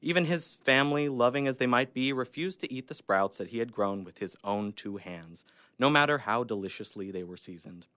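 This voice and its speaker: A young man narrates a short story he wrote, reading from a script. His voice is mid-pitched and recorded dry, with hardly any room sound. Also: expressive, fairly quick, pushed and bright, dry recording. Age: young adult. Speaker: student writer reading own story.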